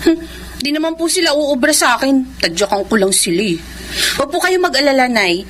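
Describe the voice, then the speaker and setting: A woman's voice: high voice, expressive, fairly quick, a bit bright, slight background noise. A woman acting a caring, confident young elder sister in a radio drama, reassuring and earnest. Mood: confident, breezy.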